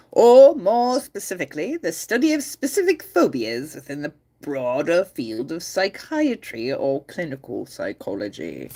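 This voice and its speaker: Playful woman streamer with a lively voice. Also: deep voice. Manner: playful, laughs often, reads chat aloud. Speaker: livestream host. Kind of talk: casual livestream chat.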